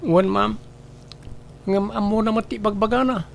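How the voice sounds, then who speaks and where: A man's voice: high voice, fairly even intonation, moderate pace, gravelly, a little hiss or hum. A man playing a kind, patient young restaurant worker in a radio drama, polite and caring. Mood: polite, concerned. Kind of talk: Ilocano radio drama acting.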